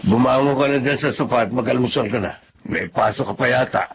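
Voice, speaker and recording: A man's voice: mid-range voice, brisk, noisy background, close to an everyday voice. An actor playing a fatherly, contented older family man in a Filipino radio drama. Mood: fatherly, matter-of-fact.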